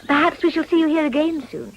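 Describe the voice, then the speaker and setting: Eager young upper-class lady for period drama, high and expressive, courteous and formal. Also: fast talker, lo-fi sound. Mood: polite, warm. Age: young adult. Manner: polite, genteel, formal.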